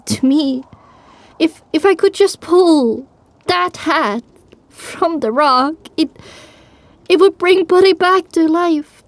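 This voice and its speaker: Woman playing high-voiced grieving young pony mare. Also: no echo. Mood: broken, sorrowful. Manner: emotional, halting, drawn-out delivery. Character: grieving, determined young female pony recounting her tale. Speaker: narrator. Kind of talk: first-person dramatic audiobook narration.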